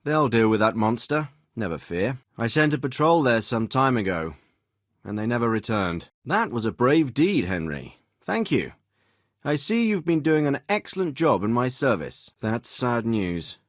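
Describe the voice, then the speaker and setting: Male actor as middle-aged noble commander, video game dialogue, expressive pitch swings, dry close sound, formal, fatherly authority. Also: no room sound, natural voice. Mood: fatherly, approving. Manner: measured, formal, paternal.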